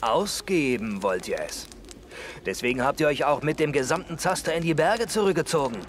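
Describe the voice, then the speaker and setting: Guy acting cocky taunting outlaw in an audio drama, lively swinging delivery, clear background ambience. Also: fairly high pitch, normal speed, slight background noise, close to an everyday voice. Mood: mocking, threatening. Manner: mocking, taunting, theatrical. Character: bold, mocking male outlaw soldier with a sense of honour. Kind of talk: German radio drama acting.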